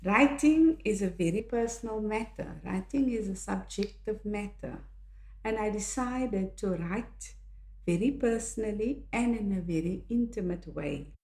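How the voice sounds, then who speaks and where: An older woman from South Africa, a native Afrikaans speaker with a South African accent, reflecting on her own life in a measured, earnest way. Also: medium pitch, animated, a little mellow. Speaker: author and podcast host. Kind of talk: reflective personal monologue. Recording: echoey room.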